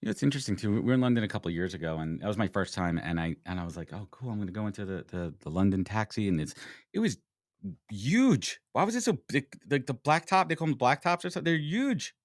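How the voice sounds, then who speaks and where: Lively Brooklyn-accented male host. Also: medium pitch, quick pace, no echo, studio quality. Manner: energetic, blunt, joking. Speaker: podcast host. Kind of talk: casual interview chat.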